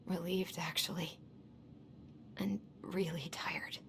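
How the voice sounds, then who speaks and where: A woman playing a guilt-ridden young woman in a naturalistic video game drama, halting, emotional and earnest. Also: fairly low pitch, fairly even intonation, gravelly, background noise. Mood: drained, subdued. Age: young adult.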